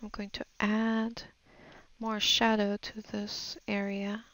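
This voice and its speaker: A woman's voice: mid-range voice, completely flat delivery, slow, fairly bright tone, no room sound. A woman giving a casual, unscripted voice-over art tutorial, thinking aloud in a hesitant, murmuring way as she assesses her work. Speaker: digital art tutorial narrator.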